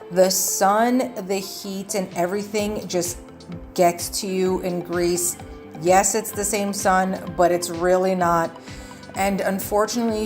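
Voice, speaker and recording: Female travel vlogger, slightly low voice, chatty and earnest, faint music underneath. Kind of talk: casual travel guide narration. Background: background music.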